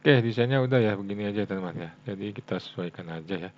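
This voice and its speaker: An Indonesian man giving a casual coding tutorial, thinking aloud in a halting way and often tagging phrases for his viewers. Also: on the low side. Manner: halting, frequent "ya" tags addressing viewers as friends. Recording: dull lo-fi mic with faint hum.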